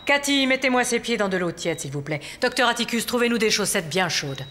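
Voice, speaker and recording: Reverberant, authoritative cartoon animal doctor. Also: female voice, on the high side, lively intonation, brisk, a bit bright. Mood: brisk. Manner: authoritative, reassuring. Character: brisk, kindly female animal doctor in charge. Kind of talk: children's cartoon voice acting. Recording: long reverb, music behind.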